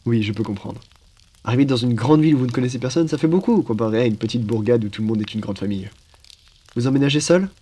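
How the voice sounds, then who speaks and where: A man playing a hospitable, wise phoenix in a French roleplay, speaking in a calm, reassuring and attentive way. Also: mid-range voice, quick pace, close to an everyday voice. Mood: welcoming, reassuring. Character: wise hospitable male phoenix welcoming travelers into his cave. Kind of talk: French roleplay ASMR storytelling.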